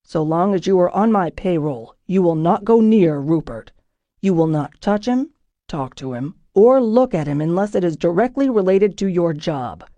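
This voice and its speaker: A hard-edged male ship captain with a low, deadly delivery, as a woman narrator puts him on. His voice sits lower than hers and stays dark and mellow, with no room sound around it. He gives clipped, menacing orders. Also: expressive, normal speed, no echo, muffled recording, lightly acted. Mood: stern. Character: stern, gruff middle-aged male ship captain. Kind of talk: audiobook character voice.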